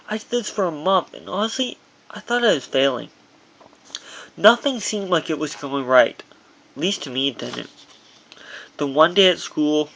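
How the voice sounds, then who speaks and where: A man narrates a personal memoir as its author. His voice sits a little high for a man. The reading is halting, with occasional stumbles over the words. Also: fairly bright tone, rough recording.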